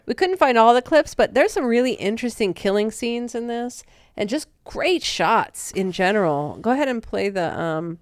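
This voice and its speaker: A woman's voice: fairly high pitch, animated, normal speed, no room sound, clean studio sound. A woman co-hosting a podcast, recapping a movie in a chatty, rambling way. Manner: chatty, rambling with fillers, laughs easily. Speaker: podcast co-host.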